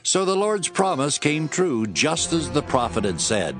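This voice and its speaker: A man's voice: a little high, big pitch swings, a little slow, music in the background. A man giving scripted dramatic narration of the Bible.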